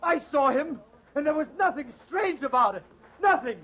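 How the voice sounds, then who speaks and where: Very high-pitched blustering radio villain. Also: male voice, expressive, moderate pace, a little hiss or hum. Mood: panicked bluster. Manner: agitated, forceful, declamatory. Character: cornered, blustering villain, a desperate accused killer. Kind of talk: 1940s radio acting. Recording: dull old 1940s radio recording.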